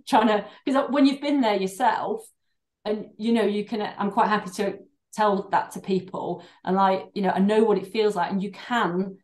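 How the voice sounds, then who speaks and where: A woman's voice: mid-range voice, darkish tone. A middle-aged woman, a doctor and breathing therapist, talking in a podcast interview in an earnest, rambling way with many restarts and fillers. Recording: roomy echo.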